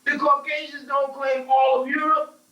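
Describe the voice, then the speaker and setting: A man's voice: extremely high pitch, flat delivery, moderate pace, sharp tone, lo-fi sound. An American man in an opinionated group discussion, speaking emphatically like a preacher and repeating phrases to drive his points home. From United States. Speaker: panel speaker on a religious talk show. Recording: roomy echo, distant microphone.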